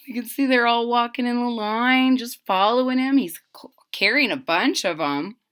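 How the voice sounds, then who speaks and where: A woman's voice: a little high, normal speed, a bit bright, smooth. A woman reading a picture book aloud expressively, stretching words for emphasis and adding chatty asides for young listeners. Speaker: read-aloud storyteller.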